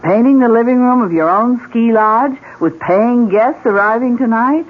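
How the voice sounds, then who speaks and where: A woman's voice: a little high, normal speed, lightly acted. An actress playing a patient, optimistic wife who reasons with her husband, in 1970s radio drama style. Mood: reassuring. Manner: coaxing, reasoning. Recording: thin, phone-like old radio broadcast.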